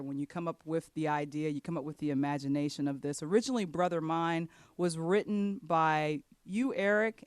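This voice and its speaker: A man's voice: fairly high pitch, clean tone, dry recording, studio quality. A man hosting a casual panel interview, asking questions and sometimes reading from notes. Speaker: interview host.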